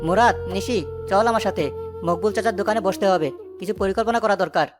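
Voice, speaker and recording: A woman voices an earnest young cartoon hero rallying friends. The voice is mid-pitched and very brisk, decisive, over faint music. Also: natural voice. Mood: determined. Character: resolute young village man leading his friends. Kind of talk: cartoon story dialogue.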